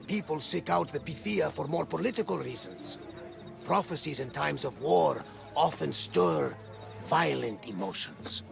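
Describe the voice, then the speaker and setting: An actor playing an excitable, devout old sea captain in a video game, gravelly, with a hearty, earnest manner. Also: male voice, fairly high pitch, measured pace, full character voice. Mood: reverent. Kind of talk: video game cutscene acting. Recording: music behind the voice.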